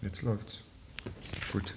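A man interviewing in a conversational way, putting tentative questions with pauses. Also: a little hiss or hum.

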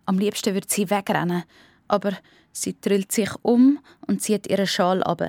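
A woman's voice: medium pitch, normal speed, a little mellow, dry recording. A woman with a Swiss accent narrating a scripted children's story as part of a radio play.

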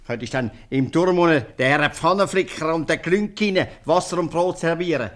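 A man's voice: a little high, moderate pace, lightly acted. A man playing a grumpy, stubborn old innkeeper with a Swiss German accent in a 1950s radio comedy. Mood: mocking. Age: older. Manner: grumbling, sarcastic.

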